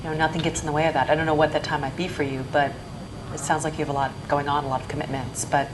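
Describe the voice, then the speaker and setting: A woman with a low voice moderates a panel and offers practical advice in a measured way. Steady room noise sits under her voice. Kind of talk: panel discussion. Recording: noisy room recording.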